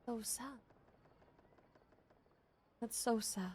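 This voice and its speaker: A woman streamer casually reacting to a video game in short, expressive exclamations. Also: a little high, extremely slow, slight background noise. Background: faint game music.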